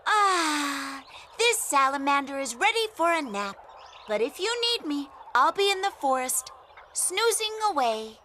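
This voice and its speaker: A woman voicing a calm, knowing salamander who reassures her friends, with background music. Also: high-pitched, measured pace, noisy background. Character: sleepy, wise salamander. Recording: cartoon voice with music behind.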